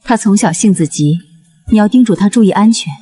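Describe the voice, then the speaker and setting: A woman playing a gentle, caring dowager consort and mother in a historical drama. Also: mid-range voice, fairly quick, husky, soft background music, natural voice. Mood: tender concern. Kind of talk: dubbed historical drama acting.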